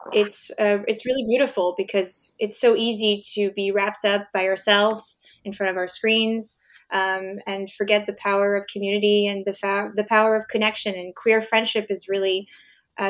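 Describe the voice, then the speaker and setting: A woman from France with a French accent, a podcast guest, speaking earnestly with some hesitation. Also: medium pitch. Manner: earnest, warm-hearted, hesitant. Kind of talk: casual chat.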